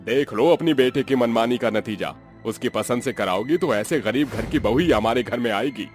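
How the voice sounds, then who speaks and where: A man's voice: medium pitch, normal speed, faint music behind, lightly acted. An actor playing a grumpy, fault-finding older father-in-law, stern, disdainful and always complaining. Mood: blaming. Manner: complaining, scolding.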